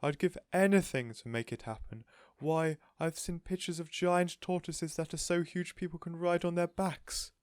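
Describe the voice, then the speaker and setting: A man's voice: fairly high pitch, moderate pace, few pauses, darkish tone, smooth, no echo, clean studio sound, voice pushed up, close to an everyday voice. A man voicing a sweet, gushing woman who dotes on her pet, in a storybook reading. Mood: wistful, eager. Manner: gushing, effusive, exclaiming. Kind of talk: storybook character voice in audiobook reading.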